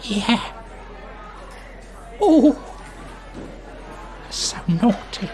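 A woman playing a pushy, flirtatious, suggestive dinner date with a croaky voice in an improvised comedy radio play. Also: a little high, big pitch swings, dark tone, some chatter behind, exaggerated voice. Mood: flirty, bossy. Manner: drawn-out, suggestive, teasing delivery.

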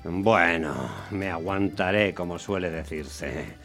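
An actor playing a hot-tempered, grumbling taxi driver who tells his story in a radio play, animated and exasperated. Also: sits low, takes it slowly, faint music behind, heavily acted. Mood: exasperated, sarcastic. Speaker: narrator and lead character. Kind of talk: dramatised first-person radio storytelling.

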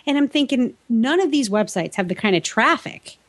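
A woman's voice: fairly high pitch, expressive, moderate pace. An American woman co-hosting a podcast in a casual, chatty and playful way. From New England, United States. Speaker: podcast co-host. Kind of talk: casual co-host banter and chat.

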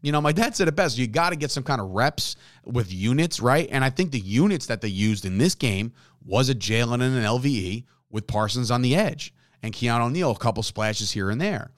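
A man hosts a sports podcast and talks casually, full of restarts, repeated words and quick "right?" checks. His voice sits in a middle pitch. The recording is dry and close. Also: dry recording. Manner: animated, repeats words and asks "right?" often. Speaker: podcast host.

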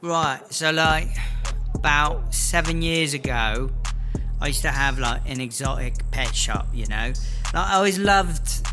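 A man's voice: mid-range voice, lightly acted. A man playing a touchy, chatty British prisoner with an English accent, rambling indignantly in a comedy audio drama. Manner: indignant, rambling, full of filler words. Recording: music clearly playing behind.

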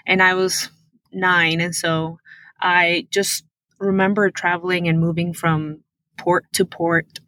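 Peruvian-born woman guest, spanish first language, lowish but really bright voice, earnest and animated. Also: slow pace, no echo, poor audio. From Peru. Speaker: guest, tech product manager. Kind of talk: interview answers, personal storytelling.

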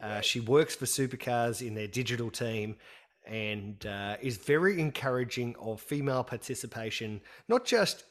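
A man's voice: medium pitch, moderate pace. A man co-hosting a casual motorsport podcast, talking loosely with plenty of fillers and restarts. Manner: chatty, with many fillers and restarts. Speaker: podcast co-host.

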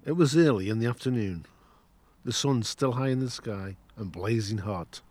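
A man's voice: lowish voice, normal speed, a little mellow, no room sound, soft background music, lightly acted. A man playing the narrator of an audio drama, wryly recalling a boyhood escapade in scripted first-person storytelling. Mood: wry, reminiscent.

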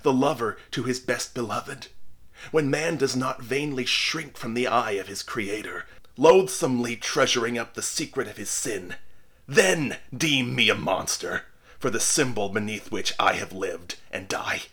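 A man's voice: medium pitch, animated, slow, hardly any pauses, bright, forceful tone, pitched above the performer's own voice, full character voice. A man doing a dying old clergyman, solemn. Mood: impassioned. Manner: solemn, archaic speech. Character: dying old male clergyman, veiled and grim.

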